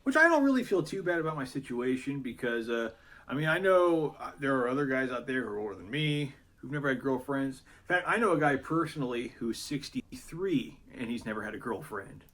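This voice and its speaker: A man in his thirties talking casually about himself in a self-deprecating, hesitant way, with many pauses. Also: mid-range voice, animated, fairly bright tone. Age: 30s. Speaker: YouTuber. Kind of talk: casual vlog monologue.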